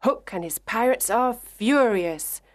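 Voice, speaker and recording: A woman narrating a scripted children's story for language learners, deliberate and carefully enunciated. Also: fairly high pitch, animated, dry recording, clean studio sound.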